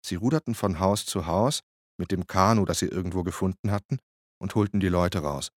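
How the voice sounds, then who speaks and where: A man's voice: low-pitched, fairly quick, no room sound, studio quality. An actor playing a male hurricane survivor and photographer who recounts his rescue as a plain first-person radio drama monologue. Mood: recounting, weary.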